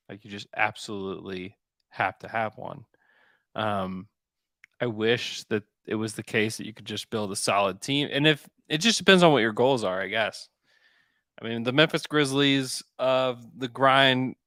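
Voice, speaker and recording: A man's voice: medium pitch, a bit bright, no echo, clean studio sound. A man hosting a sports podcast, chatting casually about basketball, thinking aloud and repeating points for emphasis.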